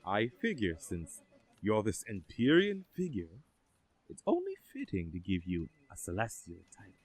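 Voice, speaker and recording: Composed agency boss for a superhero audio drama, male actor: low, expressive, formal and assured. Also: normal speed, breathy edge, heavily acted. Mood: composed, helpful. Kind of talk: scripted audio drama acting.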